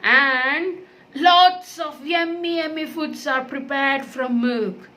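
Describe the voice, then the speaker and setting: A woman's voice: sits high, slow pace, sharp tone, heavily acted. A woman voicing a cheerful, friendly cow puppet in a children's educational show, speaking eagerly in simple, repetitive phrases. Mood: cheerful, proud.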